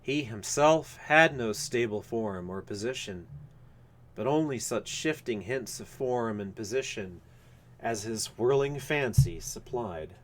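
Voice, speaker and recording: Male story reader, mid-range voice, formal and measured, dramatic delivery for literary horror. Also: mid-range voice, expressive.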